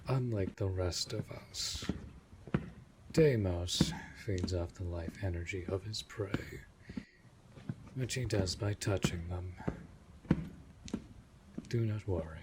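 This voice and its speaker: Guy doing a protective vampire master for an audio rp, slow n low, formal, bossy, measured. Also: heavy background noise. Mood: protective, reassuring. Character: protective, commanding male vampire master of a manor. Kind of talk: scripted audio roleplay acting.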